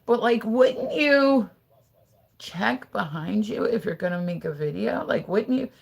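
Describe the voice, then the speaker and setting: A woman's voice: medium pitch, animated, measured pace, creaky, slightly rough. Chatty American woman livestreaming from home. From United States. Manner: chatty, gossipy, loose and profane, lots of "like" fillers. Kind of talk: casual livestream chat, reading and answering viewers. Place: her house.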